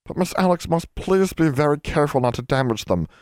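A man's voice: a little high, expressive, brisk, no echo, clean studio sound, pitched above the performer's own voice, lightly acted. A man voicing a timid, servile library elf in an audiobook, speaking eagerly in broken servant grammar. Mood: earnest, pleading. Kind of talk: audiobook character voice.

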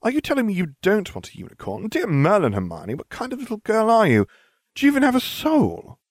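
A man's voice: medium pitch, expressive, fairly quick, darkish tone, no room sound, clean studio sound, voice pushed up, lightly acted. A man voicing a smug, theatrical, scheming schoolchild in an audiobook, glib and mock-grave. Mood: mock outrage.

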